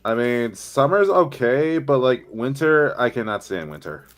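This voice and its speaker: A man's voice: medium pitch, expressive, a little slow. A young man chatting casually with friends on a voice call, hesitant and full of fillers.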